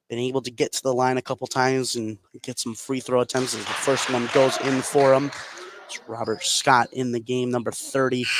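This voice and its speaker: An American man calling live basketball play-by-play for radio from a gym. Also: mid-range voice. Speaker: play-by-play commentator. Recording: noisy live gym broadcast.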